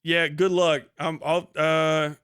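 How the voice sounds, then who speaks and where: A man's voice: on the high side, flat delivery, slow, fairly bright tone, clear, resonant tone. A man hosting a casual livestream commentary, swearing heavily in an exasperated, incredulous way. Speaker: livestream host.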